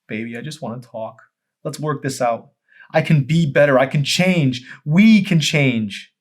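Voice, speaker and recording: A man voicing a desperate, anguished husband and fallen pastor, emphatic and often shouting, in an audiobook. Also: medium pitch, measured pace, pitched above the performer's own voice, natural voice. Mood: pleading. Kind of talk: dramatic audiobook character acting.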